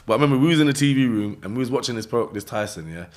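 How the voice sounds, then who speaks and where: A man with a London accent telling a story in an interview, in animated street slang, acting out voices and laughing often. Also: medium pitch, very fast. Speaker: interview guest.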